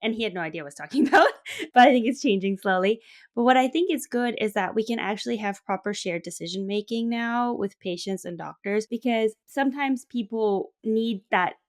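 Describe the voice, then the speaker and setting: A woman's voice: on the high side, expressive, fairly quick. A woman co-hosting a health podcast, talking casually and informally, often voicing example questions. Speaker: podcast host, patient perspective. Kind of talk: casual conversational discussion.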